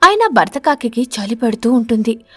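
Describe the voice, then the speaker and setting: A woman storyteller reading a scripted narration for an animated moral story. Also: on the high side, moderate pace, husky, dry recording, faint music behind.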